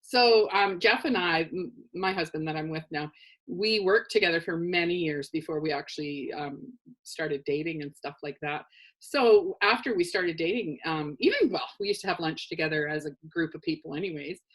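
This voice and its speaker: Low-voiced woman telling stories. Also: animated, normal speed, low-quality recording. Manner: chatty storyteller, dry humor, laughs often. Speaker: co-host. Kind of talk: casual chat on a live show.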